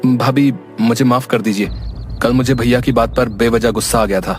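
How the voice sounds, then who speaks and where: Young male villager for cartoon tale, played by a man: medium pitch, brisk, earnest, protesting, music bed. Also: steady tone, natural voice. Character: hot-headed, earnest young village man seeking work. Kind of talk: cartoon moral story acting.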